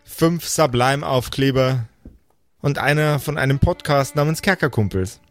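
A man narrating an improvised roleplay story as game master, measured and descriptive, with deliberate pauses. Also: mid-range voice, soft background music.

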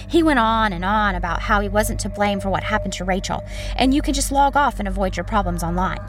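A woman plays a haunted female journalist recounting a horror tale. Her mid-pitched voice is measured and suspenseful, in dramatic first-person narration. Music plays clearly underneath. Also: fairly bright tone, natural voice.

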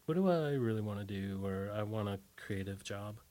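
A middle-aged American man being interviewed, chatting casually in a halting, informal way with "like" sprinkled through his sentences. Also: lowish voice, lively intonation, dark tone. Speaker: interview guest, musician.

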